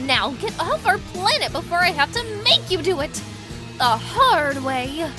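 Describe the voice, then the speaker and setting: Woman as teenage magical-girl leader, anime parody, animated pitch, bossy, flustered, soft-hearted. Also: unhurried, full character voice. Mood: defiant. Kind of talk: anime parody audio drama. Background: background music.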